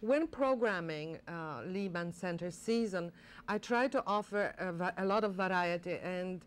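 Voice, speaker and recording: An older woman talks eagerly in a television studio interview. She restarts her sentences and fills pauses with "uh" and "you know," recorded cleanly on a lapel mic. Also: fairly low pitch. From Poland. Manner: enthusiastic, animated, many fillers and restarts. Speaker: director of a college performing arts center.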